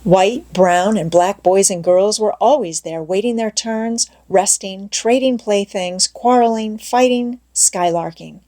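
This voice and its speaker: A woman narrating an audiobook, reading a scripted story aloud in a measured, expressive way. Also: mid-range voice.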